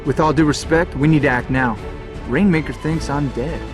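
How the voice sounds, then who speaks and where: An actor playing a determined young fighter pilot hero in a video game, earnest and resolute, recorded dry over music. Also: male voice, a little high, normal speed, close to an everyday voice. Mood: urgent, persuasive. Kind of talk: video game cutscene acting and dramatic monologue.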